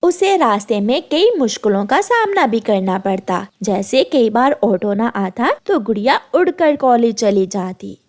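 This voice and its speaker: High-pitched, expressive woman storyteller. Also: unhurried, few pauses. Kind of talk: scripted narration of children's moral story.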